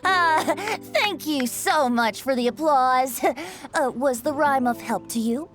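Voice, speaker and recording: A woman's voice: sits high, slow, fairly bright tone. A woman voicing a playful, theatrical young male bard in a video game, with a lilting, poetic delivery. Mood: cheerful, modest. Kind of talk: video game character acting with recited verse. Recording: music behind the voice.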